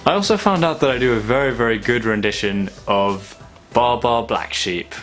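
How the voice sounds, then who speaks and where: A young man talking casually and reflectively in a vlog, thoughtful and halting with long pauses. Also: mid-range voice, a bit bright. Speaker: vlogger.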